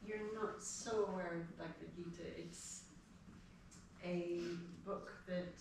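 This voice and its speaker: A woman's voice: fairly low pitch, fairly even intonation, measured pace, vocal fry, darkish tone. Echoey, distant middle-aged Irish woman lecturing. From Ireland. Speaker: guest speaker giving a devotional class. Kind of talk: informal spiritual lecture. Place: house gathering room, indoors. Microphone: distant from the speaker. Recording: echoey room, distant mic, noisy.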